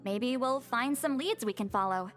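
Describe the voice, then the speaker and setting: A composed scholarly woman from a video game , played by an actress, with a fairly high voice. They speak in a measured, articulate, gently firm way over faint music. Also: expressive, lightly acted. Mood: thoughtful.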